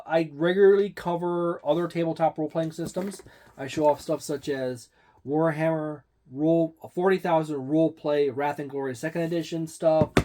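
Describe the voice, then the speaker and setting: A man's voice: on the high side, dark tone. A man hosting a tabletop game channel, giving a casual product review in a rambling way with many fillers and restarts.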